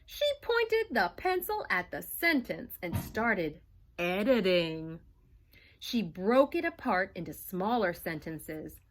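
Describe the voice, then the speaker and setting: A bright, expressive woman reads a storybook aloud at an unhurried pace, her pitch swinging widely as she brings the tale to life. She talks like a teacher with her young class, pausing to ask simple questions. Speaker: first grade teacher. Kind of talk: reading a picture book aloud to young children.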